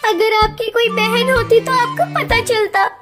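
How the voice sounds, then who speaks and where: A woman's voice: very high voice, steady tone, measured pace. A woman voicing an emotional, headstrong young female sparrow in a cartoon moral story, dramatic and pleading. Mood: hurt, indignant. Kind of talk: animated Hindi moral story dialogue. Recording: loud music bed. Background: children's music.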